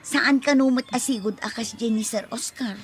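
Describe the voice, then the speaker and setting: A woman's voice: a little high, moderate pace, faint music behind, natural voice. A woman acting in a radio drama as a loyal friend and employee, with a conversational delivery. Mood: teasing concern. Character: loyal, teasing female friend, deferential employee.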